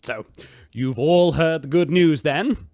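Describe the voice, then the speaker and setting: An actor playing a smug, insecure spaceship captain, boasting in an awkward, halting manner. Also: male voice, fairly high pitch, darkish tone, no echo, studio quality. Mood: smug, pleased.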